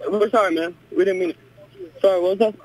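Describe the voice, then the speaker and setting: A man's voice: high voice, fairly even intonation, fast, crowd noise. A young American man making an emergency call, heard over a phone line in a played-back recording. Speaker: emergency caller.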